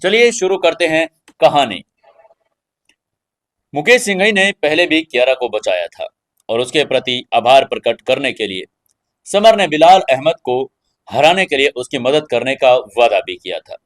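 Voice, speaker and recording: A man's voice: mid-range voice, sing-song, unhurried, fairly bright tone. A man hosting and narrating an audio story in a dramatic storytelling style.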